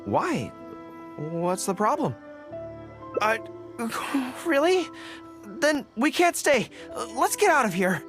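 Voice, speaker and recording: Brief: boyish canine general for a game, earnest and easily flustered, very animated delivery, music underneath. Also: female voice, a little high. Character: earnest young dog-eared male general, polite, easily flustered. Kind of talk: English video game voice acting.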